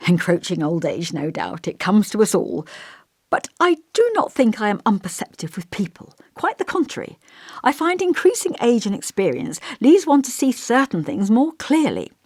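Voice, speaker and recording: A woman's voice: medium pitch, wildly swooping pitch, moderate pace, darkish tone, no room sound, clean studio sound, voice pushed up. A woman voicing an elderly male village vicar in an audiobook, kindly, long-winded and fussy, with a pompous, old-fashioned, musing manner. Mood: musing, gentle. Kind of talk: audiobook character dialogue.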